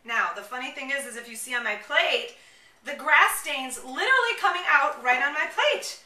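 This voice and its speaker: A woman's voice: high voice, lively intonation, moderate pace, bright, forceful tone. A woman in her thirties presenting a casual home product demonstration, enthusiastic and chatty as if with children. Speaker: mom blogger presenter. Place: home kitchen, indoors. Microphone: camera a few steps away. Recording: roomy, distant camera microphone.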